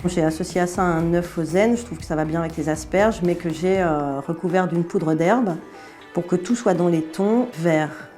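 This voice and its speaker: A woman's voice: on the low side, normal speed, a little mellow, soft background music. A middle-aged woman chef from France with a Belgian French accent, answering interview questions in an easygoing way with many hesitations.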